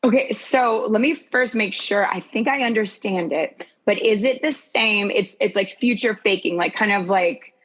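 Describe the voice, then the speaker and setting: A woman's voice: medium pitch, normal speed, few pauses. A woman coach speaking as a guest in a casual conversation, informally thinking aloud.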